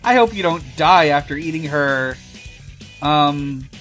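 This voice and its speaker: A cocky cartoon hedgehog hero, acted out by a woman in a fan fiction reading. He teases his friends, and his pitch jumps around in a very animated way. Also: unhurried, soft background music. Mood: teasing, exclaiming. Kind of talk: fan fiction read-aloud.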